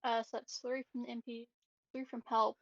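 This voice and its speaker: A woman's voice: a little high, little pitch movement, moderate pace, creaky, no echo, dull audio. A woman chatting casually as a player in a tabletop roleplaying game.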